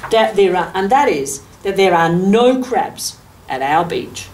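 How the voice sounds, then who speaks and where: A woman's voice: medium pitch, lively intonation, measured pace, a little mellow. An Australian woman in her sixties reads a picture book aloud to young children, adding asides, explanations and questions for them. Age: 60s. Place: furnished office room indoors, seated. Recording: distant microphone, noticeable room background noise.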